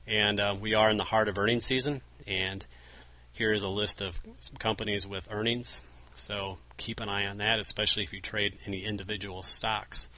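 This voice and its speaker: A man's voice: on the low side, little pitch movement, normal speed, bright, forceful tone, poor audio. A man casually walking through big-picture market charts, often restarting his phrases. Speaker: newsletter market analyst co-host. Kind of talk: market commentary over charts.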